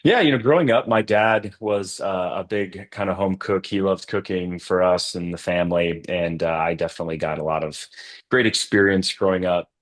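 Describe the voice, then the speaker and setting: A middle-aged man from Massachusetts, talking casually as a podcast guest, relaxed with lots of fillers and restarts. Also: sits low, normal speed. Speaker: podcast guest, coffee company co-founder. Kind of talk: casual interview chat.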